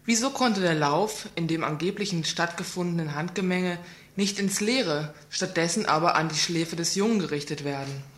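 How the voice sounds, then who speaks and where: A woman's voice: on the low side, normal speed. A woman reading a scripted report on a community radio news program, asking pointed rhetorical questions.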